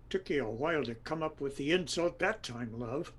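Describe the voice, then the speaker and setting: A man playing an affectionate, unflappable older husband in a comedy audio drama, gently teasing and wry. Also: a little high, animated, moderate pace, husky. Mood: fond, teasing.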